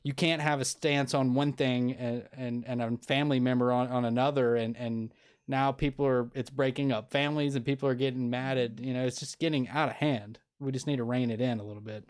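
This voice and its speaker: A man's voice: medium pitch, steady tone, brisk, clear, resonant tone. A man hosting a casual interview show, talking earnestly with many restarts and fillers. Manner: earnest, opinionated. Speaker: podcast host.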